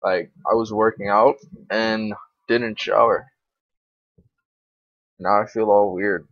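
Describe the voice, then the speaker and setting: Young male gamer, low voice, grumbly off-the-cuff play commentary with swearing, dull dry recording. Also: fairly even intonation, slow, a bit bright, dry recording. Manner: informal, grumbling, frequent swearing. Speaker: gaming video creator. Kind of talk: casual gameplay commentary.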